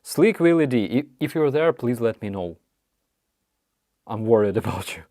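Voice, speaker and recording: A man with a Ukrainian accent hosting a casual live chat, answering viewer questions in halting, apologetic English with many restarts. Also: medium pitch, expressive, dark tone, no room sound, clean studio sound. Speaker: livestream host.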